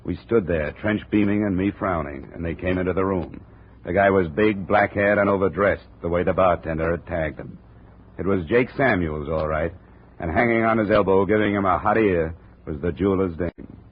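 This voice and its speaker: An actor playing a wry, hard-boiled insurance investigator in a 1950 radio detective drama, deadpan and wisecracking. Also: male voice, low voice, normal speed, husky. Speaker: narrator and lead actor. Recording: tinny, noisy old radio broadcast.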